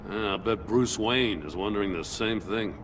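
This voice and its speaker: Casting: tired, honest middle-aged lawman , played by a man, lowish voice, plain-spoken, game cutscene with clear city noise. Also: normal speed, lightly acted. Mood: weary. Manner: world-weary, plain-spoken. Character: weary, principled middle-aged male police chief. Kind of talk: video game voice acting. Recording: outdoor city noise behind voice.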